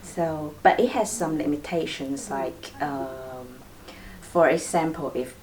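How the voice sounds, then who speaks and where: A woman acupuncturist walks newcomers through her needles in a low, slow voice. She explains patiently and laughs lightly between points. She sits back from the mic, with a faint hiss of the clinic room behind her. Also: a little mellow, far from the mic, rough recording. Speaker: acupuncturist and host. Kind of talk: explanatory demonstration.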